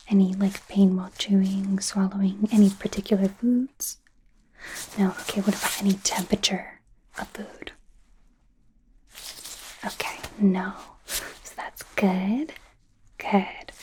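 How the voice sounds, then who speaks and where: Slow, whispering werewolf doctor, acted by a woman. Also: mid-range voice, a little hiss or hum, lightly acted. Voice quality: whispered, breathy. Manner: hesitant, halting, softly reassuring. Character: awkward, curious young female werewolf doctor, gentle and apologetic. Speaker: ASMR performer. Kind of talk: ASMR roleplay.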